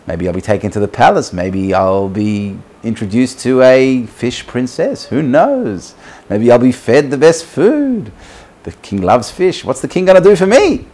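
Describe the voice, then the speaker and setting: A man voicing a naive, hopeful, excitable male fish in an orally told parable, with an emphatic manner. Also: medium pitch, a little slow.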